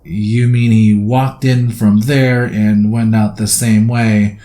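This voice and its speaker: Casting: man as courteous train attendant, soft mellow tone, fairly low pitch, ASMR roleplay. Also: level tone, slow. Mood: baffled. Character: polite, puzzled male train attendant offering refreshments.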